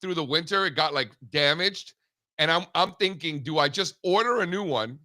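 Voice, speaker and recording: A man's voice: fairly high pitch, moderate pace, sharp tone, no echo, clean studio sound. A man co-hosting a markets show, bantering and joking with an announcer-like radio voice. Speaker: co-host. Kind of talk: casual chat.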